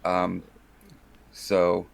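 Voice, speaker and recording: A young man chatting as a podcast guest, joking in a deadpan, mock-serious way, with some noise on the recording. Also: sits low, extremely slow, gravelly, clean tone. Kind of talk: casual joking chat.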